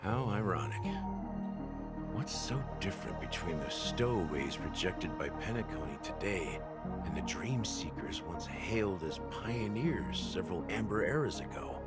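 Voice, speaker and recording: A world-worn officer from a video game story, with a very low voice. He tells his tale slowly and ruefully, weighed down by guilt and mocking himself, over loud background music. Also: lively intonation. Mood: wry, brooding. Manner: slow, rueful, self-deprecating storyteller. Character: weary, world-worn male officer haunted by guilt and regret. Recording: music behind the voice.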